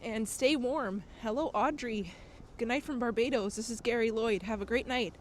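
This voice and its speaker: A woman's voice: on the high side, moderate pace, slight background noise. A woman live-streaming outdoors at night, reading viewer comments in a chatty way, a bit nasal from a cold. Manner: chatty, laughs often. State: recovering from a cold, slightly nasal. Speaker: IRL streamer. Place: outdoors on city streets at night.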